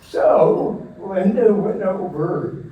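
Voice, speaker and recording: A man interviewing a guest at a church gathering, slowly introducing people to the audience in a large meeting room. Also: high voice, warm tone. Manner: slow, deliberate speaker addressing a room. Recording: echoey room from far off, faint noise.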